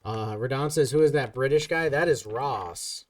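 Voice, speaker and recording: A man's voice: mid-range voice, normal speed, recorded from a distance. A man from Ohio hosting a casual gaming stream, teasing his friends and reading chat aloud. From Ohio, USA. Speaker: streamer host.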